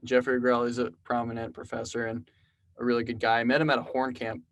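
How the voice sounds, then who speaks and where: A man in his twenties from South Texas, telling stories casually as a podcast guest, relaxed and rambling with lots of "like" and "you know". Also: medium pitch, quick pace. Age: 20s. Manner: relaxed, rambling storyteller with many fillers. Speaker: podcast guest, programmer and musician. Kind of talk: casual conversational interview.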